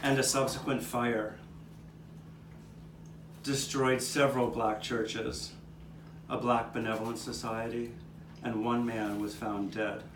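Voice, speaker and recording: A man playing an earnest storyteller from the 1800s, recalling hard times for his neighbors in a mid-pitched voice. He performs live and sounds roomy and set back from the microphone, with clear noise behind him. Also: flat delivery, takes it slowly, pauses often, natural voice. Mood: earnest recollection. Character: 19th-century Philadelphia man recalling his neighbors' struggles. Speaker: narrator of a puppet show. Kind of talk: scripted first-person historical narration, storytelling. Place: outdoor courtyard, live performance.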